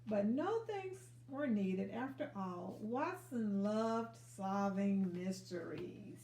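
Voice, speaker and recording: A woman reading a children's story aloud expressively, pausing to encourage young listeners with questions. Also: medium pitch, lively intonation, dark tone, a little hiss or hum. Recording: echoey room sound.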